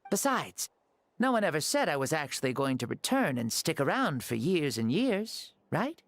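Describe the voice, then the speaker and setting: A performer voicing a calm, breezy ancient soul in a boy's body for a video game, speaking casually and reassuringly. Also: medium pitch, normal speed, no echo, clean studio sound. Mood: light, breezy.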